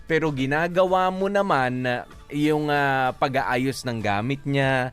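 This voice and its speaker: A man with a Filipino accent giving casual advice as a co-host, explaining things and pausing to find his words. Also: medium pitch, fairly bright tone, clean tone, soft background music. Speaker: radio advice show co-host.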